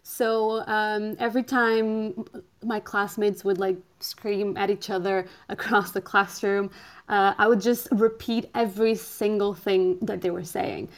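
A woman's voice: medium pitch, little pitch movement, a little slow. A woman in her twenties from Portugal, a native Portuguese speaker, talking as a podcast guest in a hesitant way, with many "um" fillers and easy laughter. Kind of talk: casual interview chat.

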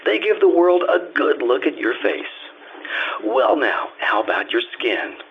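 Old radio ad guy, emphatic sales pitch, super animated, tinny phone-like sound. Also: measured pace. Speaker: commercial announcer. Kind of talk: old-time radio cosmetics advertisement, scripted. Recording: very tinny band-limited audio with noise.

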